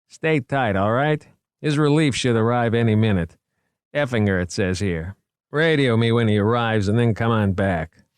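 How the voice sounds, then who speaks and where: A man's voice: medium pitch, moderate pace, clean tone, no echo, studio quality, pitched above the performer's own voice, lightly acted. A man voicing a blunt, irritable male security dispatcher with slangy talk, in an audiobook. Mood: brusque, irritated.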